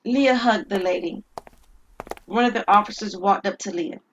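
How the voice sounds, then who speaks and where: A woman's voice: mid-range voice, normal speed, lo-fi sound. A woman storyteller narrating a scripted dramatic tale. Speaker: storyteller, writer and performer.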